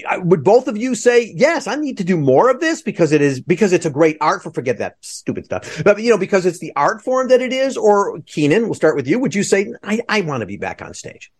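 A man's voice: high voice, sing-song, brisk, few pauses, slightly rough. A man hosting an interview podcast over a video call, talking in an effusive, rambling, digressive way. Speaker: podcast host.

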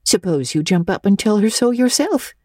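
A woman voicing a merry, kindly minister's wife in a storybook, gentle and reassuring. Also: on the low side, very animated, unhurried, slightly rough, no room sound, studio quality, heavily acted.

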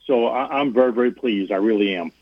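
A man calls in to a sports radio show and talks earnestly, his voice squeezed thin by a phone line. He rambles, restarts and fills gaps with "uh", and his voice sits in a middle pitch in a dry, close sound. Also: fast, dry recording. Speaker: athletic director guest.

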